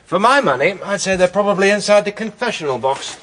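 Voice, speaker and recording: An actor playing a keen, plucky amateur sleuth in a radio drama, confident and polite in manner. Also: male voice, high-pitched, animated, fast talker, a bit bright, slight background noise. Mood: inquisitive.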